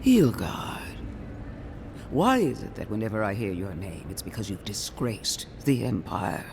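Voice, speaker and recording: An actor playing a severe, zealous imperial inquisitor in a fantasy game, with an imperious, cutting, theatrical delivery. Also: male voice, fairly low pitch, sing-song, a little slow, creaky, a little mellow, a little hiss or hum, full character voice. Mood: scornful. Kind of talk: dramatic fantasy game dialogue.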